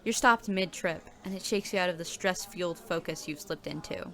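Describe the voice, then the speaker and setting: A woman's voice: on the low side, fairly quick, fairly bright tone. A woman performing scripted audio drama narration as a nervous, overworked new coffee shop worker. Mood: grim, weary. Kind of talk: scripted second-person narration.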